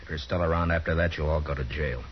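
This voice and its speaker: An actor playing a stern, calm frontier lawman who talks tersely and firmly, in a 1950s radio drama. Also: extremely low pitch, fast talker, a little hiss or hum. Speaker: narrator and lead. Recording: dull lo-fi 1950s radio broadcast with music.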